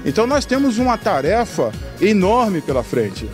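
A middle-aged man with a high voice for a man speaks emphatically, listing point after point and often tagging on "né?". He talks into a handheld mic in a large hall, with faint music behind him. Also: sing-song, moderate pace. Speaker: interviewee. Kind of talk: interview, political commentary. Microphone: handheld reporter mic.

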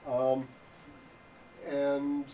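A young man giving an improvised mock lecture in a deadpan, halting way, full of ums, in a hotel function room. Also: mid-range voice, highs cut off. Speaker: improv presenter. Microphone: far room camera. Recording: distant voice with room hiss.

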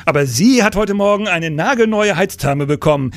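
An actor playing a weary, commanding middle-aged police chief in a radio crime drama, brisk with pensive hesitations. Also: male voice, high voice, expressive. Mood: bitter, resigned.

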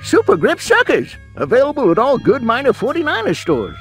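A man's voice: extremely high pitch, huge pitch swings, brisk, faint music behind, full character voice. A man voicing a folksy old gold prospector with an Old West American accent in a cartoon video game. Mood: helpful. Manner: folksy, chuckling, hesitant.

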